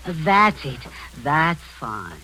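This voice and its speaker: A woman's voice: sits low, sing-song, very slow, heavy background noise, full character voice. An actress playing a scheming older female spy who poses as a kindly aunt, speaking in a sugary, condescending way that turns cold and commanding, in 1940s radio acting style. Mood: falsely sweet. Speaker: villainous spy posing as an aunt.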